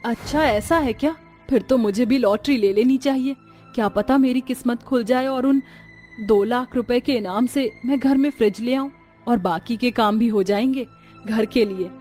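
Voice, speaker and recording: A woman's voice: fairly high pitch, normal speed, darkish tone, soft background music, lightly acted. A woman playing a patient, worried young daughter-in-law and mother in a cartoon story, earnest and respectful. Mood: hopeful. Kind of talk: Hindi cartoon story dubbing.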